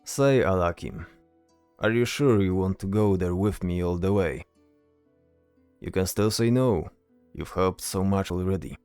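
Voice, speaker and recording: A man's voice: low voice, unhurried, pauses often, a little mellow, clear, resonant tone, no room sound, soft background music. A man voicing a weary, earnest, dutiful soldier in a fantasy audio drama, with a solemn and hesitant manner. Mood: concerned.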